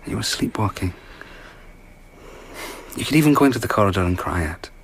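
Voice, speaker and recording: Low-voiced, earnest storyteller. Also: male voice, expressive, a little fast, creaky, a little hiss or hum. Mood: gentle, concerned. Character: seductive, earnest wandering male storyteller. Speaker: actor. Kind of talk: intimate radio drama acting.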